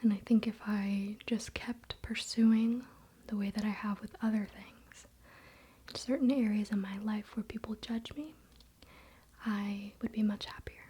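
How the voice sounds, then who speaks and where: A woman whispering breathily in an unhurried, confiding ASMR style, speaking directly to the viewer. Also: medium pitch, fairly even intonation, mellow, breathy edge. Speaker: ASMR video creator.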